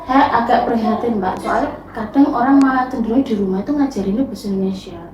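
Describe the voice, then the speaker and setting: A woman's voice: fairly high pitch, fast, background noise. A woman with a Javanese accent, a guest language teacher explaining in casual, chatty podcast talk. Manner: chatty, explanatory, laughs often. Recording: roomy echo.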